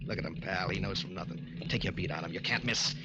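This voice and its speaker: A man's voice: deep voice, moderate pace, very noisy, lightly acted. An actor playing a slick, persuasive American gangster boss in an old-time radio crime drama, using slangy, cajoling tough-guy talk. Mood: goading. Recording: muffled vintage radio recording, organ music.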